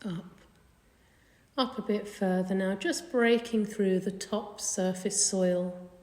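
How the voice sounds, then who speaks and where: A woman leading a guided meditation in a gentle, soothing, unhurried manner. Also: mid-range voice, fairly even intonation. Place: indoor carpeted room. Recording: echoey room with long reverb.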